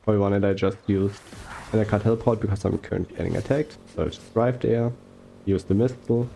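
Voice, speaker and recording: Young male gaming commentator, mellow tone, slow soft-spoken rambling delivery. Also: on the low side, fairly even intonation, creaky, slight background noise. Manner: rambling with fillers and self-corrections. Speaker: gaming YouTuber. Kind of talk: relaxed gameplay commentary.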